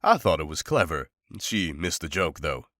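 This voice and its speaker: Stoic male monster hunter, played by a man, very low voice, dry wit, terse delivery, visual novel. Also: normal speed, no room sound, full character voice. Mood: deadpan. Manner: deadpan, terse.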